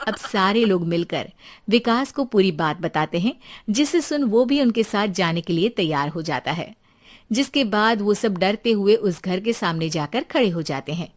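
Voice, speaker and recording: Casting: female storyteller, medium pitch, scripted cartoon horror narration. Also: animated, moderate pace.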